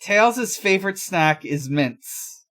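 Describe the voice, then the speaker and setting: Male podcast co-host, unhurried pace, casual and matter-of-fact, pausing to think aloud. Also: high-pitched, lively intonation, sharp tone, soft background music. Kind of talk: casual chat.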